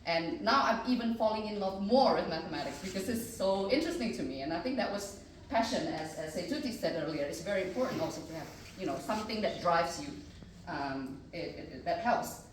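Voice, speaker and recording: An Indonesian-accented woman in middle age talks through her story on a panel, earnest and chatty, with plenty of ums and uhs. Her voice sits a little low. She sounds far from the mic, in a strongly reverberant room with faint noise behind. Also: animated, a little fast. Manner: earnest and chatty, with many fillers. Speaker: mathematics professor on a panel. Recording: echoey room, distant mic.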